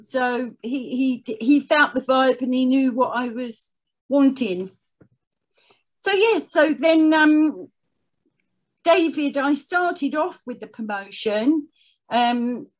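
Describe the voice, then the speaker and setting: Female podcast guest, fairly high voice, conversational personal storytelling. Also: low-quality recording. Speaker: podcast guest, charity chief executive.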